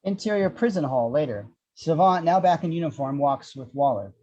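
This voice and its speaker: Male screenplay narrator, wry, mellow tone, slightly muffled lo-fi sound. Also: a little high, animated, moderate pace. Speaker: action-description reader.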